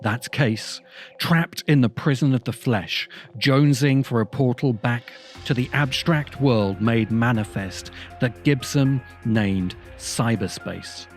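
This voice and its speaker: Guy doing an earnest video essay voiceover, medium pitch, music playing underneath. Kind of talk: scripted essay narration. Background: background music.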